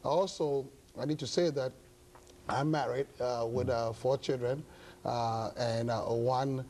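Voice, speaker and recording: A pastor from Ghana, older, answering interview questions slowly with many pauses and fillers. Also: male voice, medium pitch, fairly even intonation, darkish tone. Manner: halting, many uh fillers, measured. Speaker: pastor and guest. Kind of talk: interview talk show.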